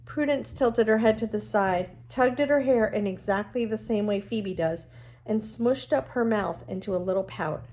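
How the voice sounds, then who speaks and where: A woman reading a novel aloud like a teacher, in an expressive storytelling manner with explanatory asides. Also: medium pitch, normal speed, poor audio.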